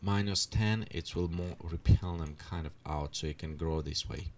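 A man with leading a software tutorial at his computer, thinking aloud as he adjusts settings. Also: deep voice, slight background noise. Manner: unhurried, thinks aloud while clicking through settings. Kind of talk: screen-recorded software tutorial.

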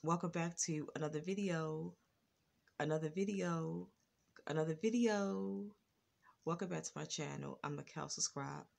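A woman's voice: sits low, moderate pace, vocal fry, darkish tone. A woman vlogger talking casually to her phone camera, stuttering and restarting her words. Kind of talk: casual reaction vlog. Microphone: phone front camera.

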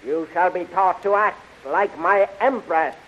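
An actor playing a cruel, imperious alien emperor in a pompous, commanding manner in a 1930s radio serial. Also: male voice, high-pitched, measured pace. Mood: menacing. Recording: dull, hissy 1930s radio recording.

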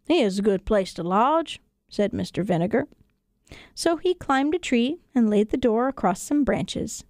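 A woman with a fairly high voice tells a children's story. Her reading is expressive and scripted, made for acting out. The studio sound is dry and close. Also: no echo. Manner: expressive, acts out dialogue. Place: radio studio.

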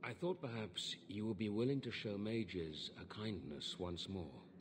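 An actor voicing an earnest, formal knight in a fantasy video game, speaking in a grave, measured manner. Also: male voice, lowish voice, expressive, a little hiss or hum. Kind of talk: scripted video game dialogue.

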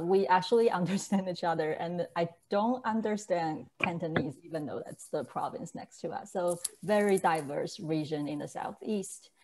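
A young woman from southeast China speaks in a mellow, dark-toned voice, feeling her way through answers with plenty of "um"s and restarts. She talks casually over a webcam from a large, bare loft room. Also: medium pitch. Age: young adult. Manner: hesitant, many fillers and restarts. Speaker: choreographer guest. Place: large empty loft room with brick walls, indoors. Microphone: webcam on a video call.

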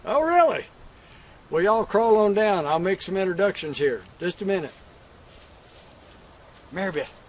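A man's voice: fairly high pitch, moderate pace, slight background noise, lightly acted. A man playing a flustered frontier cowboy who has just become a father. He speaks with a homespun, folksy drawl. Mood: hearty. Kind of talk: one-man stage monologue.

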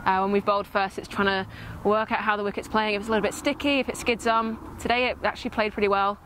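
Quick, even-toned young sportswoman. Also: mid-range voice. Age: 20s. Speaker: cricket player. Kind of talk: post-match interview answers. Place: outdoor cricket field. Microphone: lapel mic. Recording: faint outdoor ground noise.

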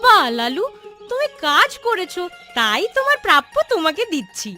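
A woman voicing a kind, grateful village woman in a cartoon story, speaking in a gentle, reassuring manner. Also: extremely high pitch, big pitch swings, moderate pace, full character voice. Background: background music.

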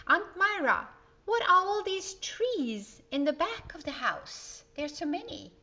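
A woman's voice: extremely high pitch, big pitch swings, measured pace, pushed and bright, voice pushed up, exaggerated voice. A woman voicing a curious, eager young girl in a storybook, full of wide-eyed questions. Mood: eager curiosity. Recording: dull, slightly lo-fi sound.

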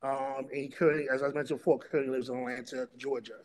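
A middle-aged man moderating a panel and introducing its topic and speakers, in a halting delivery that often repeats words. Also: medium pitch, little pitch movement, darkish tone. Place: remote video call.

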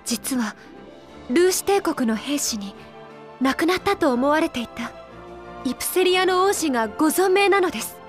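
A woman's voice: sits high, animated, normal speed, faint music behind. A woman playing a dignified young princess in a Japanese video game, speaking politely and formally with earnest resolve. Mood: earnest, courteous. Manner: polite, formal, composed speech.

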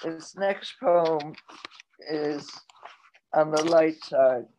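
Older woman slowly reading her poems. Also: low voice, warm tone, a little hiss or hum. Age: 80s. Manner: slow, measured reading voice. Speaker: poet reading her work. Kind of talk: poetry reading. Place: home room, indoors. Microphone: laptop webcam on video call. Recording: dull lo-fi video call audio.

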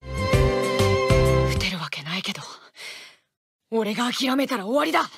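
A woman's voice: on the high side, big pitch swings, normal speed, vocal fry, loud music behind the voice. A woman voicing an earnest, fired-up teenage boy who catches and captains a baseball team in a game. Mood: determined.

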